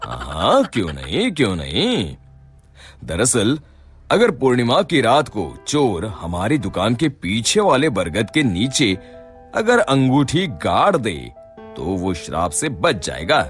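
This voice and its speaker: A man's voice: medium pitch, huge pitch swings, takes it slowly, faint music behind. A man voicing a shrewd, kindly middle-aged merchant in a cartoon, theatrical and sly. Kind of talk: cartoon voice acting.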